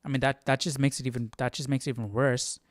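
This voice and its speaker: A man's voice: medium pitch, level tone, quick pace, no echo, clean studio sound. A man hosting a Bible study stream, talking casually and reasoning through questions in a rambling, searching way. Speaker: Bible study livestream host. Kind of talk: casual thinking aloud, conversational.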